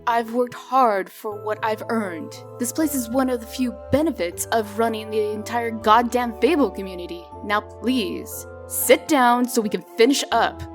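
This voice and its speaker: A woman playing a stern, exasperated official in a comic dub, brisk, scolding and authoritative. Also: on the high side, music in the background, close to an everyday voice. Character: stern, exasperated, businesslike female city official.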